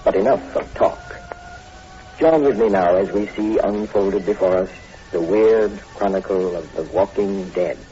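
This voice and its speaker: Ominous old-time radio horror host. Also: male voice, sits low, unhurried. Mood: grave, suspenseful. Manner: solemn, ominous, theatrical, formal. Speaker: host and narrator. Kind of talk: 1940s radio horror narration, scripted. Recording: muffled old radio recording, faint music.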